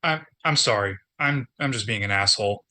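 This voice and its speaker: Actor as a bright-voiced, deadpan, dejected man. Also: fairly low pitch. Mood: apologetic. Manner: deadpan, halting, wry. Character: dejected, sardonic man down on his luck. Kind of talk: script table read, naturalistic acting.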